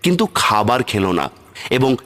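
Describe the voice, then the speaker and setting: A man's voice: mid-range voice, very animated, normal speed, fairly bright tone. A man narrating a scripted horror story as its storyteller. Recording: music bed under the voice. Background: music.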